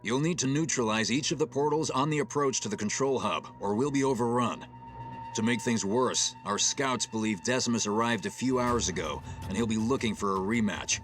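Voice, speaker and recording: Game casting: grave male ship's captain played by a man, mid-pitched, measured authority, clear music underneath. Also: a bit bright, lightly acted. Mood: grave resolve. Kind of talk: video game military drama acting.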